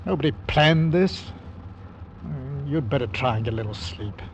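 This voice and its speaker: A man's voice: medium pitch, lively intonation, normal speed, close to an everyday voice. An actor playing a weary, fatherly older ship's captain in an old-time radio drama, firm and plain-spoken. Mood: consoling. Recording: dull vintage radio recording, faint noise.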